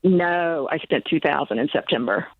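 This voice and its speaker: A woman's voice: low voice, normal speed, no room sound. A woman in her sixties calls in over a thin, tinny phone line. She talks hesitantly, stopping and restarting with plenty of fillers. The sound is dry and close. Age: 60s. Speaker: caller. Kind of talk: phone call to a radio advice show.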